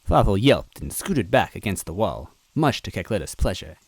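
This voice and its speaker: A man reading a story aloud as narrator, expressive and adding little asides to the listener. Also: fairly low pitch, moderate pace, husky, dry recording. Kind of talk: reading fan fiction aloud.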